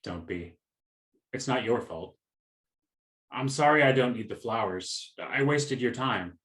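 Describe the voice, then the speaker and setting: A man's voice: mid-range voice, lively intonation, unhurried, fairly bright tone. A man acting in a radio play as a polite, hesitant, heartbroken customer. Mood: dejected. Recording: roomy echo on the voice.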